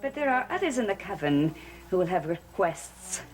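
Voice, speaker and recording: A woman's voice: on the low side, big pitch swings, normal speed, a little hiss or hum. An actress playing a cold, commanding witch who leads a coven, in a 1960s soap opera, with a cool, controlling manner. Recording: old TV soundtrack with music. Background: music.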